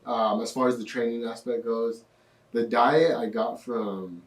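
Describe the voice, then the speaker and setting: A young man in his twenties, a podcast guest telling his story in a relaxed way with lots of fillers. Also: medium pitch, a little slow, distant mic. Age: 20s. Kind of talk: casual interview. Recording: echoey room, off-mic.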